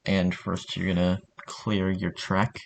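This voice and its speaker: A man's voice: low voice, completely flat delivery, slow pace, gravelly. A man giving a casual spoken tutorial in an informal, offhand way. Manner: informal, offhand, mildly sweary. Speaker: tutorial narrator.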